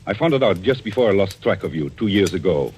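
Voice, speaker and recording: Deep, menacing avenger in radio suspense. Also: male voice, big pitch swings, a little hiss or hum. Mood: coolly menacing. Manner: deliberate, theatrical, quietly menacing. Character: vengeful, coolly controlled man confronting a murderess. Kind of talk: 1940s radio suspense acting. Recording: old radio recording with music.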